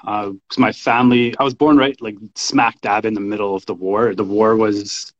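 A man in his thirties with a fairly deep voice talks casually about his own life. His delivery is informal and halting, as in a relaxed interview. The recording is a little dull and lo-fi. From Canada. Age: 30s. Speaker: podcast guest, men's coach and shamanic practitioner. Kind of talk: casual personal storytelling in an interview.

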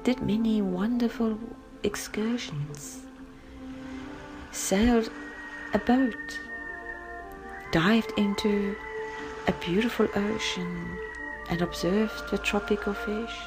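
A woman narrating a guided sleep story in a gentle, soft and breathy voice, often close to a whisper, with long pauses. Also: mid-range voice, low-quality recording. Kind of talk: soothing bedtime story, guided relaxation. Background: music.

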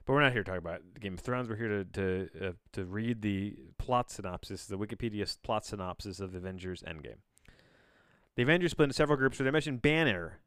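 Male solo podcaster, lowish smooth voice in a dry recording, loose read-aloud delivery with bemused tangents. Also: fast talker, fairly bright tone, no echo. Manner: rambling, self-interrupting, bemused; casual swearing. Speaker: podcast host. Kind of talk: casual solo podcast reading with rambling asides.

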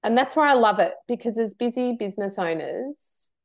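A woman guest on a podcast, a trained marketer talking in an animated, emphatic way and laughing between points. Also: on the high side, moderate pace. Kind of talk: casual interview chat.

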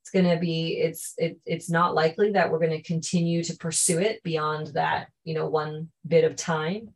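A woman answering as a podcast guest, thoughtful and earnest, often pausing to search for words. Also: sits low, steady tone, low-quality recording. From Canada. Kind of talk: reflective interview answers.

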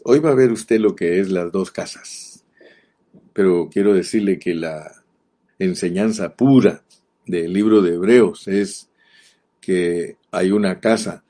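Deep-voiced Guatemalan preacher, emphatic. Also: male voice, warm tone. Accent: Guatemalan Spanish. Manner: earnest, emphatic, repeats key words, slows down to stress them. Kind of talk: sermon.

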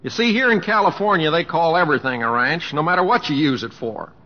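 An actor playing an easygoing middle-aged cowboy humorist with an American accent, speaking in plain, self-effacing homespun phrases in old-time radio style. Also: male voice, a little high, animated, fast talker. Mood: sheepish, easygoing. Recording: muffled old radio recording, reverberant stage.

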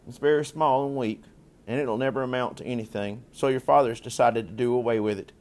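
A man's voice: medium pitch, steady tone, fairly quick, darkish tone, natural voice. A man voicing a matter-of-fact farm mother in a storybook, explaining things plainly and firmly.

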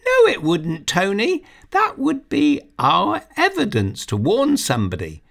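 A bold, excitable nine-year-old girl from a children's audio story, as a male narrator puts her on. Her voice jumps very high and sounds breathless with excitement. The delivery is slow and drawn out. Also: creaky, full character voice. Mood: insistent.

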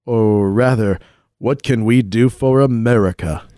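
An actor playing an earnest, manly flyboy hero in a 1940s-style radio play, hearty and patriotic. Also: male voice, on the low side, unhurried, dark tone, dry recording, studio quality, lightly acted. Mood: eager, patriotic.

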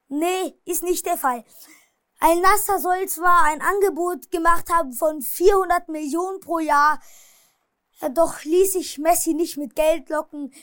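A woman's voice: high-pitched, no echo, clean studio sound. A woman casually recapping football matches and transfer rumours, hesitating a lot and apologizing for her pronunciation. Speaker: football news vlogger.

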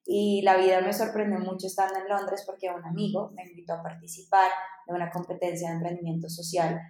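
A woman's voice: on the low side, little pitch movement, brisk, darkish tone. A woman, telling her story as a podcast guest in a chatty way, with many fillers. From Colombia. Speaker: podcast guest, startup founder. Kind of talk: casual interview storytelling. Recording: echoey room.

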